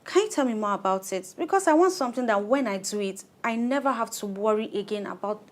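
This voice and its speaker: A woman's voice: on the high side, lively intonation, fast, natural voice. Actress as a harried, determined Nigerian mother. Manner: earnest, plain-spoken, insistent. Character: harried, determined thirty-year-old mother of five daughters, firm and frank. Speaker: clinic client in an educational radio play. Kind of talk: radio drama acting.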